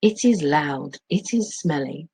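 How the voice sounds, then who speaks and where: A middle-aged woman teaches and reads aloud with expressive phrasing. Her voice sits a little low, with a husky edge and a lively, moving pitch. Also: unhurried. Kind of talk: explaining lesson and expressive story reading.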